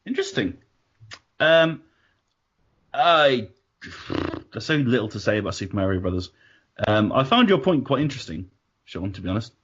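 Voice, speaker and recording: A man's voice: mid-range voice, very animated, moderate pace, long pauses, a bit bright. A British man hosting a casual panel chat, chatty with laughing asides. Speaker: podcast host. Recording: dull lo-fi audio.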